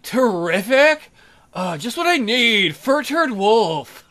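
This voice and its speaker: A man's voice: very high-pitched, big pitch swings, slow pace, pushed and bright, pitched above the performer's own voice, heavily acted. A man voicing an annoyed, airheaded young fairy-tale maiden in a visual novel, with a hesitant valley-girl drawl full of filler words.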